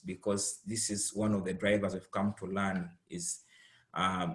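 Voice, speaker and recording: Ugandan man, low register, conservationist presenting a project to a panel over video call. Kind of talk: explaining project in online panel.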